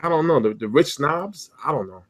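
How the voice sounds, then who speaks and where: A man's voice: on the high side, fairly quick. A man co-hosting a sports talk show, joking and stammering through casual banter. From half Spanish, Puerto Rican. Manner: animated, stammering, jokey. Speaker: podcast co-host.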